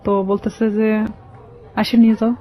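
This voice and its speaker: A woman with a Bangladeshi accent telling a personal story as a radio guest, halting and repeating phrases as she explains. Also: on the high side, flat delivery, mellow. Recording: slightly dull, lo-fi radio sound.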